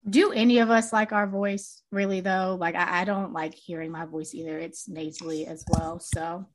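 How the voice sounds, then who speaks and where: A woman's voice: fairly even intonation, normal speed, background noise. Chatty woman podcast host, close mic. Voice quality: pitchy and a little grating, by her own account. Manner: chatty, loose and profane, laughs often. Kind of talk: casual podcast chat. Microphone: close desk mic.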